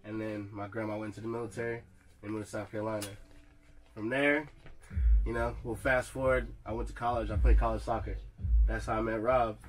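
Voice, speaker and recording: A man's voice: fairly low pitch, steady tone, brisk, rough recording. A young man, a rapper being interviewed, chatting in a laid-back, slangy way on a handheld mic in a studio lounge. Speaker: rapper guest. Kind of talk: casual interview chat.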